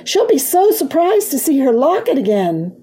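Friendly small-town girl, read by a woman. Helpful, polite, mellow storybook voice, slightly husky. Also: a little high, sing-song, a little slow, pitched above the performer's own voice, full character voice. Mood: excited.

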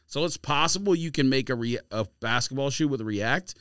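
A man's voice: mid-range voice, lively intonation, normal speed, a bit bright, smooth, dry recording, clean studio sound. A man on a podcast panel, casually arguing the other side and pushing back with questions. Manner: contrarian, questioning. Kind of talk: casual debate.

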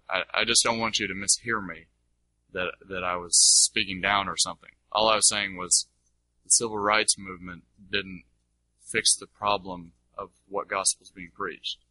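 A man teaching in an informal, halting way as he answers questions and clarifies his points. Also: deep voice, sharp tone, dry recording, poor audio.

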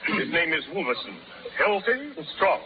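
On a thin, hissy old radio recording, a brisk male auctioneer. His high voice swings widely as he calls out his patter. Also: very animated, narrow, tinny audio. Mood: brisk selling. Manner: loud sales patter. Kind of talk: 1930s radio drama acting.

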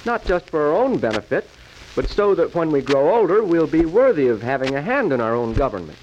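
An actor playing an earnest, thoughtful schoolboy in 1940s radio style. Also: male voice, on the high side, very animated, a little fast, a little mellow. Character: earnest thoughtful schoolboy reciting his definition of democracy. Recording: dull, noisy 1943 radio recording.

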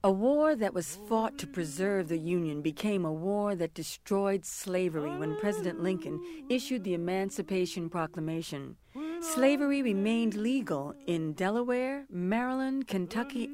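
A woman giving scripted historical narration in a measured, formal way. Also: mid-range voice, animated, a crowd in the background.

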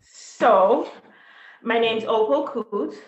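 Woman guest from Jamaica, a teacher, measured and deliberate, recorded in an echoey room. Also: on the high side, dull audio. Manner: measured and deliberate, listing points in order. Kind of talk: interview answers.

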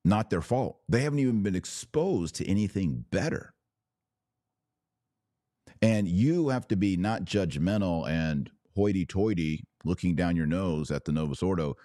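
A man's voice: low voice, lively intonation, measured pace, warm tone, no echo, clean studio sound. A man hosting a religious podcast, speaking straight to his viewers in an earnest, emphatic, preacherly way. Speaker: podcast host. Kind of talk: live religious commentary to viewers, with exhortation and prayer.

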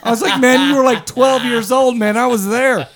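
A man's voice: extremely high pitch, fairly even intonation, moderate pace, fairly bright tone. A man in his forties hosting a podcast interview in a studio, joking and rambling in a self-mocking way. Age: 40s. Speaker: podcast host. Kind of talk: casual interview chat.

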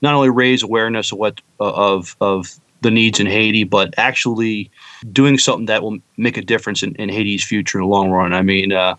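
A man co-hosting a podcast in casual chat, halting and repeating his words as he goes. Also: fairly low pitch, fairly even intonation, fairly bright tone, dry recording. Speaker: podcast co-host.

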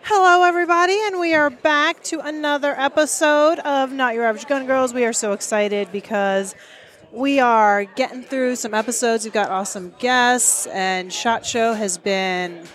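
A woman's voice: on the high side, normal speed, a bit bright. A woman co-hosting a podcast from a trade show booth, talking in a chatty, upbeat way full of fillers. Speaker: podcast co-host. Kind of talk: casual chat.

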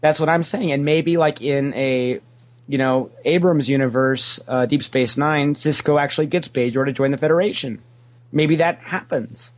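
A man's voice: fairly high pitch, moderate pace. A man speaking as a podcast guest in casual fan discussion, opinionated and emphatic, repeating phrases to drive his points home.

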